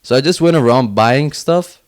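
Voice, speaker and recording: A man's voice: medium pitch, animated, normal speed. A man from Finland chatting casually as an interview guest, in informal speech peppered with "like". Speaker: podcast guest, young entrepreneur.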